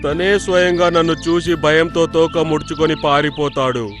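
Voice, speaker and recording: Cartoon villain wolf, played by a man: medium pitch, boastful, hungry and threatening, music underneath. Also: fairly even intonation, moderate pace, lightly acted. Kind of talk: cartoon character voice acting.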